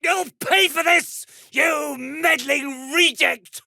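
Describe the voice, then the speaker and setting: Game role: a self-important, slippery British toy maker. Theatrical and wordy, slow delivery with big melodic swings, close dry sound. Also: male voice, extremely high pitch, vocal fry, sharp tone, dry recording, raised voice, heavily acted. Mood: outraged. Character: fussy, irritable, self-important toy maker, male, slippery and easily flustered. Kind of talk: dramatic video game dialogue.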